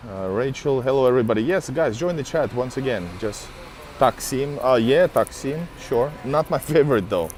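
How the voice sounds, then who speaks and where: A man's voice: mid-range voice, expressive, moderate pace, hardly any pauses, darkish tone, slight background noise. A man from Finland, native in Russian, chatting casually with viewers on a live stream while walking outdoors. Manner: chatty, greets viewers by name. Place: city street outdoors.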